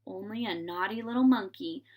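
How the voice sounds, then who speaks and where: A woman reading a children's story aloud in an animated, dramatizing way, with pauses for suspense. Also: medium pitch.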